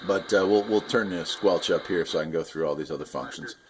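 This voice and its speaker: A man's voice: low-pitched, brisk, background noise. A man giving a casual, unscripted product review, explaining things hands-on in a rambling, enthusiastic hobbyist way. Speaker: radio hobbyist reviewer and video host.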